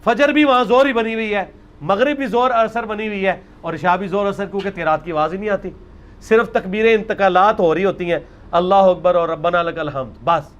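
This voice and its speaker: A man's voice: sits high, brisk, dull audio. A man with a Pakistani accent giving an informal religious lecture, arguing and explaining off the cuff with English words mixed in.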